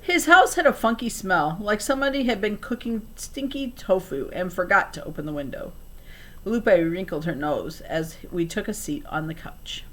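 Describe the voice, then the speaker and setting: A woman reading a children's novel aloud in an expressive storytelling style. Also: mid-range voice, moderate pace.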